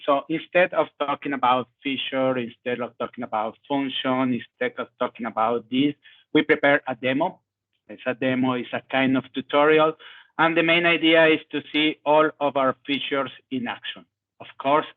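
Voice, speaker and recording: Halting man on a tinny call. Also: mid-range voice. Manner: halting, non-native phrasing. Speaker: product manager presenting a demo. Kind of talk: live technical demo. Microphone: video call. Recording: tinny phone-like call line.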